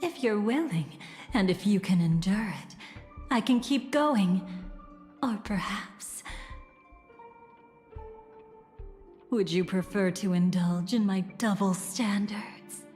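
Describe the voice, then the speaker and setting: A woman playing a poised, enigmatic game character with a measured, theatrical, teasing delivery and quiet menace. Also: mid-range voice, long reverb tail, faint music behind, lightly acted. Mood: intimate, teasing. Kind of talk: dramatic video game narration and dialogue.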